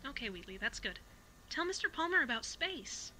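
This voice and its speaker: A woman voicing a young intern who coaxes a robot in a soft, gently encouraging voice. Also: high-pitched, sing-song, normal speed, exaggerated voice, poor audio. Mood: coaxing.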